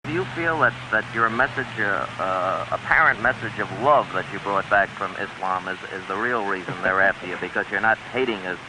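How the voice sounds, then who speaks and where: A man's voice: fairly low pitch, brisk, few pauses. A man working as an interviewer, putting questions to a guest. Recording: noisy old archival interview recording.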